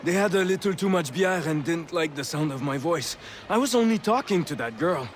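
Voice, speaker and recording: An actor playing a friendly, plain-spoken miner with a French Canadian accent in a video game. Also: male voice, on the high side, animated, brisk, a little hiss or hum, natural voice. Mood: earnest, amiable. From Quebec.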